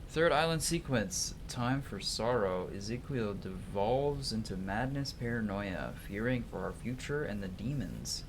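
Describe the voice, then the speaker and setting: A man's voice: on the low side, expressive, a little slow, few pauses, a little hiss or hum, rough recording. A man doing livestream game commentary, switching between casual asides and theatrical reading of on-screen story text. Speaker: livestream gamer.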